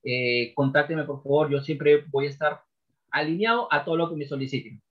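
Male sales coach, 40s, higher voice, punchy and repetitive delivery, dull webcam sound. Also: normal speed. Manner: emphatic, repeats key phrases like a trainer. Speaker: sales trainer guest. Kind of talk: podcast interview by video call. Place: home office with bookshelves.

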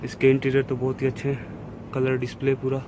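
A man talks casually as he walks around an outdoor car show with his phone camera. His voice stays level, with little rise and fall. Steady crowd and outdoor noise runs under everything he says. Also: medium pitch, fast. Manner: relaxed, plain commentary while walking. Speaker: vlogger. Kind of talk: casual walkaround narration. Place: outdoor car expo on a lawn. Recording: noisy outdoor phone recording.

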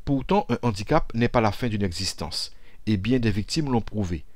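A man reading a scripted news report voice-over in a measured, formal way. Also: mid-range voice, darkish tone, no room sound. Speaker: voice-over narrator.

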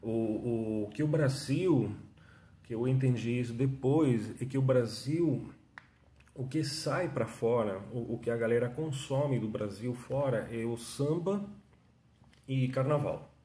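A mellow, warm-voiced man in his thirties with a Venezuelan Spanish accent chats casually to a phone held at arm's length. He talks in loose restarts and tag questions, and his voice rings around a small, echoey room at home. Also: mid-range voice, slow. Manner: chatty, restarts often, frequent tag questions. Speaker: freelance artist telling his story. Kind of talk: casual self-interview monologue. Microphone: phone camera at arm's length.

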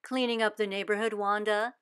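A woman reading a gentle, doubtful neighbor lady. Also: medium pitch, flat delivery, brisk, clear, resonant tone, close to an everyday voice. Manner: gentle, skeptical.

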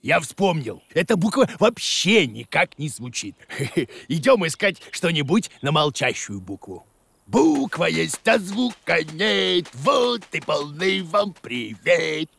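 A man voicing an excitable, bossy, bumbling cartoon creature in a blustering, theatrical way for a children's show. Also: fairly high pitch, takes it slowly, creaky, a little hiss or hum, full character voice. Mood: confident, pompous. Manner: blustering, theatrical, prone to exclaiming. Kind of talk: animated children's cartoon acting.

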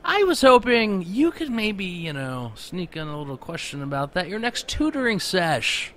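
A man's voice: high-pitched, big pitch swings, moderate pace, sharp tone, exaggerated voice. A man playing a crude, callous, flippant bully in a comedic audio drama, mocking and given to muttered asides. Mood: exasperated.

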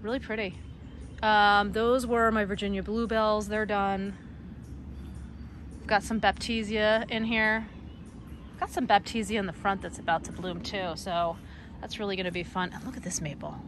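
A woman's voice: mid-range voice, fairly even intonation, normal speed, a bit bright, slight background noise. Chatty middle-aged woman touring her garden. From United States. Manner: chatty, enthusiastic, frequent fillers and small laughs. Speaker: garden blogger. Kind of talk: casual garden walking tour. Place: outdoors in a backyard garden.

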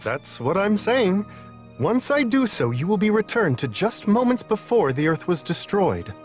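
A man's voice: sits high, big pitch swings, measured pace, soft background music. A man voicing a refined, serene otherworldly attendant in a video game, polite and precise. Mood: composed.

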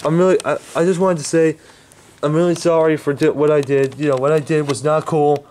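A man's voice: a little high, steady tone, a little fast, a little mellow, slight background noise, close to an everyday voice. A man voicing a hesitant, remorseful young ex-boyfriend who mumbles and stumbles over his words. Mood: apologetic. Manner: hesitant, mumbling, many restarts.

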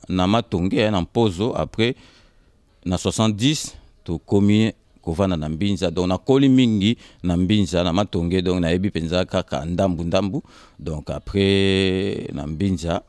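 A man in his fifties from Kinshasa with a Congolese accent, answering casually in short, halting, repeated phrases. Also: low-pitched, darkish tone, no echo. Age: 50s. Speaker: interview guest. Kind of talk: casual interview storytelling, switching between Lingala and French. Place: indoors on a sofa. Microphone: handheld microphone held by interviewer.

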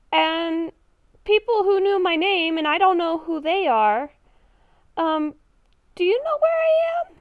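High-pitched, slow-talking female gamer, muffled mic. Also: no room sound. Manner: thinking aloud, repeating phrases. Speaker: gamer playing a roleplay character. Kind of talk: improvised in-character game narration. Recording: dull, lo-fi microphone.

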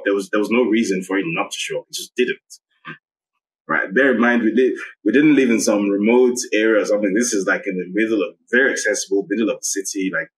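A man in his thirties from Nigeria, speaking with a fairly low voice. He tells his story slowly and reflectively, circling back and restarting phrases as he works through it. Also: a bit bright, poor audio. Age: 30s. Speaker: guest, investigative journalist. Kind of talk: personal account in interview.

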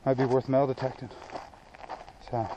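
American guy in his 30s doing a laid back hiking vlog, mid pitch, noisy outdoor camera sound. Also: flat delivery, moderate pace, dull audio. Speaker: outdoor vlogger. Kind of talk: casual off-the-cuff chat to camera. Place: outdoors on an open hilltop trail. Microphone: action camera at arm's length.

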